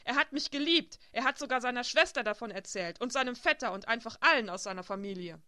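Lady doing an upset young woman, high and pleading, bright dry sound. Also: lively intonation, fast talker, dry recording. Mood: insistent. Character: young woman, tearful then furious.

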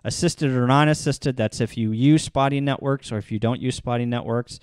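A man narrating a casual software tutorial in an instructional, step-by-step manner into a close mic. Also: mid-range voice, fairly even intonation, normal speed, clear, resonant tone, no room sound. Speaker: tutorial presenter.

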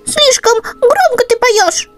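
A woman voicing a silly, sleepy baby mouse in a storybook, fussy and squeaky as it complains. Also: expressive, takes it slowly, soft background music, heavily acted. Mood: whiny, fussy.

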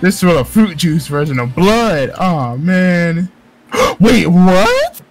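A man reading game narration aloud in a casual group playthrough, jokey, excitable and prone to swearing. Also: sits high, takes it slowly. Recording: music under the voice. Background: game music.

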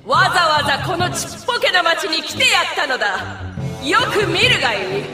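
A woman's voice: high-pitched, very animated, a little slow, over music. A woman voicing a proud, regal pony princess in a dubbed cartoon, with pompous, archaic speech. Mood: grand, proclaiming.

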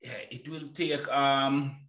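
A man's voice: mid-range voice, steady tone, slow, a bit bright. Emphatic male host, roomy echoing sound. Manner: emphatic, repeating himself for stress. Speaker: interview host. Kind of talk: casual, opinionated conversation. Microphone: boom-arm microphone, headphones. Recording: roomy, echoing home microphone.

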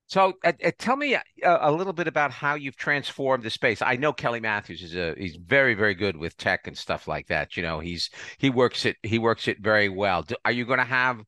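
A man's voice: mid-range voice, expressive, a little fast, hardly any pauses, bright, forceful tone, no room sound, clean studio sound. An older American man hosting a podcast in a casual, conversational interview style. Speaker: podcast host.

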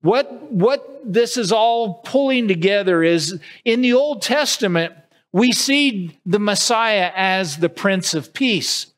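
Earnest preaching pastor, high voice, slow. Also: male voice, a bit bright. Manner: earnest preaching with wide arm gestures, frequent restarts. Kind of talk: sermon. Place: church sanctuary with empty pews. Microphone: podium mic at a distance, far camera.

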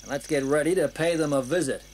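Male actor, unflappable team commander for audio drama. Dry, laconic delivery, voice a little high. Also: fast talker, darkish tone, a little hiss or hum, natural voice, low-quality recording. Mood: commanding. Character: wry, unflappable male mercenary team leader. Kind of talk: 1980s children's audio drama acting.

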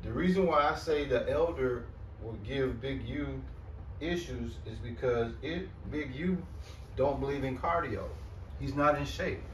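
A man's voice: medium pitch, a little slow, a little hiss or hum. A man in a heated group talk, hyped and egging the others on, often laughing. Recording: roomy, mic far off.

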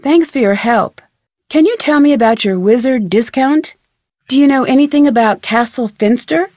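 An actress with an American accent playing a curious, friendly young woman detective in a video game, chipper and clearly scripted. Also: sits high, normal speed, darkish tone, no room sound, studio quality. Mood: curious, polite. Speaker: video game heroine and tutorial guide. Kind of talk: scripted game dialogue and tutorial narration.

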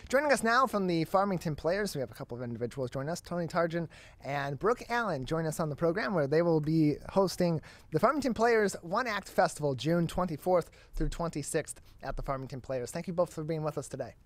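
A man's voice: fairly high pitch, lively intonation, fairly quick, dry recording. A young man presenting as a talk show host in a broadcast style, close on a studio microphone. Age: young adult. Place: small studio with curtain backdrop. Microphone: close studio microphone on boom arm.

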